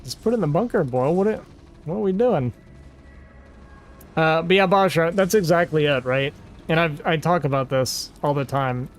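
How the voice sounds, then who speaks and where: A man's voice: on the high side, normal speed. A man streaming games who chats casually with his viewers, rambling and exasperated and saying "like" a lot. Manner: rambling and exasperated, heavy use of "like". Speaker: game streamer. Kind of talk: casual livestream chat with viewers.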